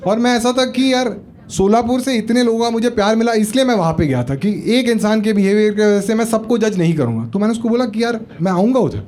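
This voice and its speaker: A man's voice: sits high, lively intonation, quick pace, darkish tone, slight background noise. A man doing stand-up comedy, telling a story in a chatty way and asking the crowd questions. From Maharashtra, India. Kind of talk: stand-up set with crowd work. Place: comedy club stage. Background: audience laughter.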